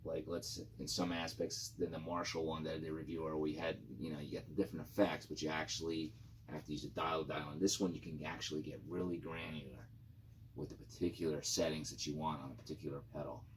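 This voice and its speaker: A man's voice: a bass voice, level tone, fast talker, slight background noise. A man reviewing guitar gear in a casual, informal and rambling product demo style with some enthusiasm.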